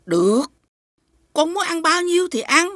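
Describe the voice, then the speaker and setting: Bright, high-voiced bossy food seller. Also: female voice, very animated, measured pace, dry recording, clean studio sound, full character voice. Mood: coaxing. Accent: Southern Vietnamese. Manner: scolding, switches to coaxing. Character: bossy, sharp-tempered woman food seller, scolding, sly. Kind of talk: animated folk tale voice acting.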